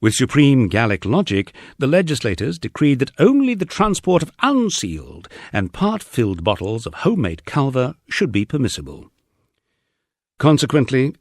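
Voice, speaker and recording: A man's voice: medium pitch, no room sound, clean studio sound. A man reading a humorous memoir as an audiobook narrator, with a wry storytelling delivery.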